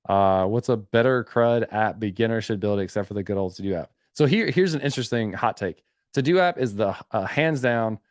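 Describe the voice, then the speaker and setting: A man with a fairly low voice hosts a live Q&A stream and reads questions from the chat. He talks loosely and conversationally, often restarting sentences and filling gaps with "um" and "like." The sound is dry and close to the mic. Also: dry recording. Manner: conversational, many fillers and self-corrections. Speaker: host of a live web development Q&A stream.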